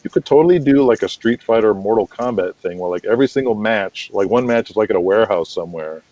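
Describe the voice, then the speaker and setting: A man speaking as a guest in a casual conversational interview, chatty and quick to laugh, on muffled lo-fi audio. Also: fairly low pitch, animated, darkish tone, dry recording. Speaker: podcast guest, wrestling promoter.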